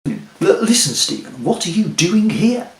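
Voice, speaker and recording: A man's voice: sits high, big pitch swings, measured pace, voice pushed up, full character voice. A man voicing brash Jeremy. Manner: brash, loud, sardonic. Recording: echoey room.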